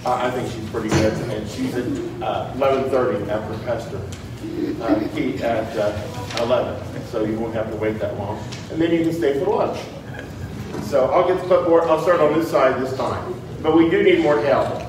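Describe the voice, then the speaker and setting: A man's voice: mid-range voice, normal speed, noisy background. Rambling man, echoey from far off. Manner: rambling, chatty, many restarts. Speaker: congregation member. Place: church sanctuary, from the pews.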